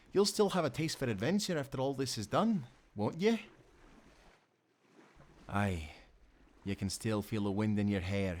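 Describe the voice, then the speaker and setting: An actor playing a loyal, tender sailor in a nautical audio roleplay, speaking in an earnest, gentle way. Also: male voice, mid-range voice, lightly acted. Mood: hopeful. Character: loyal, tender young sailor, devoted companion.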